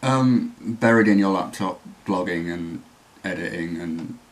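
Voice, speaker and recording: A young man with a posh London accent, chatting casually with dry, deadpan humor. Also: low-pitched, measured pace. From England. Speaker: partner of the vlogger. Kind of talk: casual couple chat.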